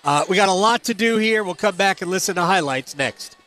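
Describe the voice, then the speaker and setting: Bright, high-voiced male sports radio host. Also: expressive, fairly quick. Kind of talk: unscripted sports highlight commentary.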